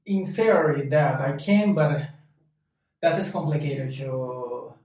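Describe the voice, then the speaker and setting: A man's voice: mid-range voice, a little mellow. Hesitant man, echoey and distant. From Brazil. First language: Portuguese. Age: late 30s. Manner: hesitant, halting, searches for words. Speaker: interview guest.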